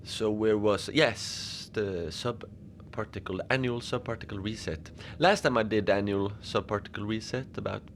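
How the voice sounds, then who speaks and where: Man acting gravelly, rambling station manager. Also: fairly low pitch, normal speed, few pauses, a little hiss or hum, close to an everyday voice. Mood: chatty, wry. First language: Norwegian. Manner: hesitant, rambling, chatty, apologetic. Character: lonely, gentle, rambling Antarctic research station facility manager. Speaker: podcast narrator. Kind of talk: cozy fiction podcast monologue, radio transmission.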